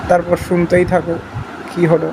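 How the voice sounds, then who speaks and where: A man's voice: high voice, monotone, normal speed, vocal fry, noisy background. A man, introducing videos as a channel host. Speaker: host of a prank call channel. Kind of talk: scripted-sounding vlog introduction.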